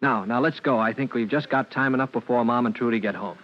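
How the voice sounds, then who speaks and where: A man's voice: medium pitch, natural voice. Guy acting nice young fireman big brother, old radio show, polite n kinda hesitant, muffled old audio. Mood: reassuring. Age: young adult. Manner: hesitant, halting, deferential. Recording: dull vintage radio recording.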